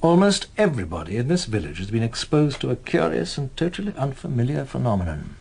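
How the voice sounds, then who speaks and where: An actor playing a measured, persuasive intelligence officer in a British radio drama, speaking formally and deliberately with a British accent. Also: male voice, mid-range voice, huge pitch swings, gravelly, darkish tone, slightly rough, exaggerated voice. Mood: insistent, probing.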